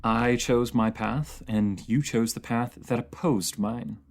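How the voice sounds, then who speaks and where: A voice actor playing a bitter, wounded fantasy ruler in an emotional dramatic monologue, halting and speaking directly to one listener. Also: mid-range voice, steady tone, darkish tone. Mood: cold, accusing.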